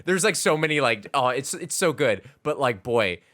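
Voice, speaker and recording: Guy cohosting a game podcast, bright punchy voice, casual n emphatic, super dry recording. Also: medium pitch, expressive, normal speed, dry recording. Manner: informal, emphatic, frequent "like". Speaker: podcast co-host. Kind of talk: casual chat about video games.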